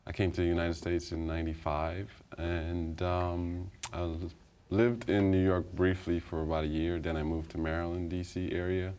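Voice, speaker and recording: A man from Guyana with a very low voice talks hesitantly in a studio interview, pausing often with soft ums. A lapel mic picks him up in a quiet studio with faint room hum. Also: level tone. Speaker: photographer guest. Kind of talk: casual interview talk. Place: TV studio, indoors.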